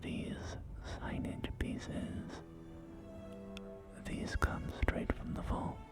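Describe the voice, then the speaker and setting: A whispered, breathy ASMR roleplay voice playing a polite, genteel real estate agent, unhurried and hesitant, with refined phrasing. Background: soft music.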